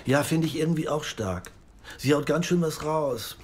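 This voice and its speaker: A man's voice: a little high, moderate pace, a little hiss or hum, natural voice. An actor playing an enthusiastic, businesslike male book editor in an audio drama, speaking in a casual, musing way. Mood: interested, pondering. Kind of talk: naturalistic audio drama acting.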